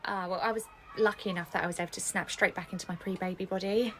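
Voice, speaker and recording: A woman's voice: mid-range voice, steady tone, fast talker, a bit bright, slight background noise, pitched below the performer's own voice, close to an everyday voice. A woman playing a smug, self-satisfied young mother who talks in a condescending, preachy way.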